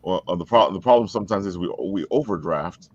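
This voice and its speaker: Deep-voiced man, halting, slightly muffled. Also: a little mellow, no echo. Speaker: interviewer and fellow writer. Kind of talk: casual podcast interview chat. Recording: dull, close, lo-fi call audio.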